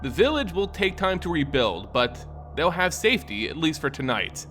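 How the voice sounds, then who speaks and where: A man's voice: fairly high pitch, very animated, normal speed, a bit bright, soft background music. A man voicing a weary, brave young woman knight in a fantasy story, earnest and restrained. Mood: grave, reassuring.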